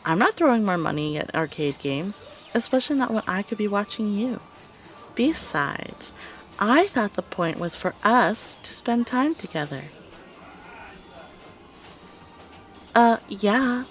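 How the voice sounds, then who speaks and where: A woman's voice: medium pitch, very animated, unhurried, faint music behind. Woman acting as a playful gamer girl on a date, casual and teasing, kinda blunt, takes her time. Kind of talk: audio roleplay acting.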